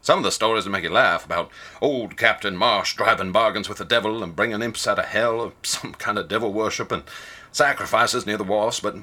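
An actor playing an older small-town ticket agent in a radio drama, a wary gossip with rambling, rustic speech. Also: male voice, fairly low pitch, a little fast, pushed and bright. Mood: gossipy, musing.

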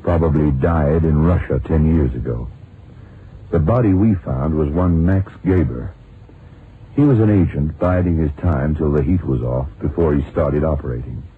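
A self-assured radio detective with an extremely low voice. He is unruffled and dryly explanatory, as in a crime drama. The old recording is narrow and muffled, with some noise. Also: moderate pace. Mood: matter-of-fact, assured. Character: cool, self-assured detective who outwits gunmen.

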